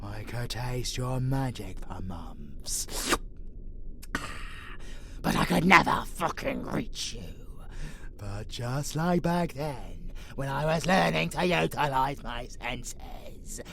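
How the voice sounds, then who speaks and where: A savage vampire abomination, hungry for prey and full of profane taunts. The delivery is very drawn out and gravelly, lurching wildly between hissed whispers and roars. It is horror roleplay at full fury. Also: medium pitch, animated, takes it slowly, fairly bright tone, heavily acted. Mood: menacing, brooding. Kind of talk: horror audio roleplay acting.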